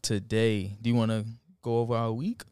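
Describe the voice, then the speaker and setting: A man's voice: on the low side, level tone, normal speed, darkish tone, clean tone, no echo. A man in his twenties co-hosting a podcast in laid-back, slangy casual chat, laughing often. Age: 20s. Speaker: podcast co-host.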